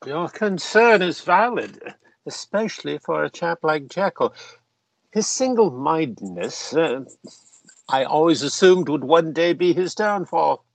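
An actor in a radio play playing a genteel, self-satisfied member of Parliament with old-fashioned, pompous phrasing. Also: male voice, a little high, lively intonation, a little slow, vocal fry, no room sound, full character voice, low-quality recording. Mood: grave, reflective.

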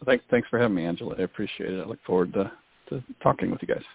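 A man from the US Pacific Northwest, a guest in a radio interview, talking in a relaxed, casual way with many fillers. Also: lowish voice, very fast, vocal fry, slightly rough. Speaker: guest, business broker. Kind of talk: interview answers. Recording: dry phone-line audio.

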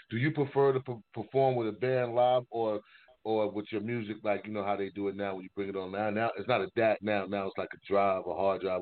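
A man hosting a casual interview, asking questions haltingly and rephrasing them midway. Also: lowish voice. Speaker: interviewer, show host. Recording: narrow-band, phone-like sound.

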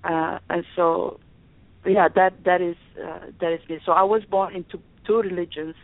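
A woman's voice: fairly low pitch, fairly even intonation, moderate pace, no room sound. A woman from Sudan, a podcast guest speaking reflectively and restarting her sentences often. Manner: reflective, frequent restarts and fillers. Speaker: podcast guest, university instructor. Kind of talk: conversational interview. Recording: tinny phone-like line, dry.

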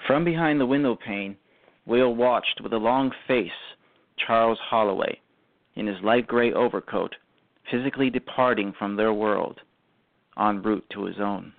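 A man reading scripted narration for a radio drama, halting and repeating phrases. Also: lowish voice, vocal fry. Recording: muffled phone-like audio.

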